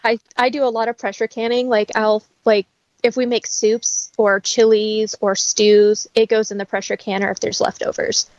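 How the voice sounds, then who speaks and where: A woman's voice: a little high, little pitch movement, moderate pace, no room sound, muffled recording. A woman talking casually and instructively, in a matter-of-fact way, as a guest who teaches practical skills. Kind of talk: casual conversational explaining.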